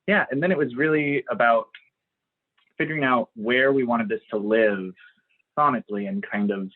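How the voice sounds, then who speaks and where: Male producer guest, reflective explainer, mid pitch, phone-quality sound. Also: normal speed. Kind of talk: conversational explanation. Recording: thin, phone-like call audio.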